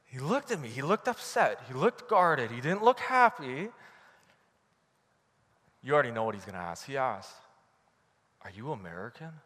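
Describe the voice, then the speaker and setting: A Canadian man preaching a sermon from the pulpit, telling a story in a lively, animated way. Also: medium pitch, moderate pace, pauses often, long reverb tail. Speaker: pastor.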